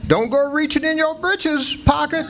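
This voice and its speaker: An actor voices a good-natured, naive runaway man in a stage radio play. The voice is very high and creaky, with a folksy Southern drawl. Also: unhurried. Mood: teasing. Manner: folksy rural Southern dialect. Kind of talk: live radio play acting.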